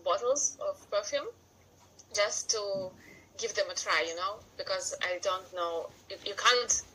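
A woman's voice: medium pitch, a little slow, bright, forceful tone, a little hiss or hum, poor audio. A woman in her forties from Russia with a Russian accent, a podcast guest chatting casually and sometimes searching for words. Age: 40s.

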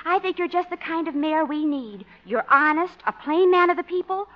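Very high-pitched, perky switchboard girl. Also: moderate pace. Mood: adoring, playful. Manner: cutesy, eager. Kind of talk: 1950s radio comedy acting. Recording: muffled 1950 radio recording.

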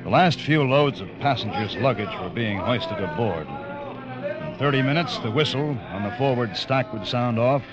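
An actor playing a stiff, dutiful first officer of an ocean liner in a 1940s radio drama, earnest and formal. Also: male voice, medium pitch, animated, normal speed, close to an everyday voice. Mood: reflective, earnest. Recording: muffled old radio broadcast, music behind.

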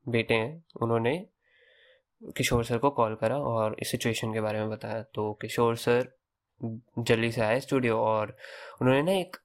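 A young man hosting a podcast, telling a story in a loose, conversational way with English words mixed in. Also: medium pitch. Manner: conversational, Hinglish fillers and restarts. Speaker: podcast host. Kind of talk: casual storytelling.

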